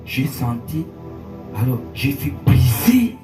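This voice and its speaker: A male pastor preaching in French with an interpreter, telling a story with emotion, moving between hushed and raised passages. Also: medium pitch, very animated, slow. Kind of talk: sermon with interpreter. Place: church hall. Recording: echoey church hall, far from mic, music behind.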